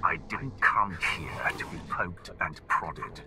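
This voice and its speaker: An actor playing a proud, defiant dark warrior ruler in a video game, commanding and scornful. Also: male voice, deep voice, animated, slow, full character voice. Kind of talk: video game cutscene acting. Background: game music.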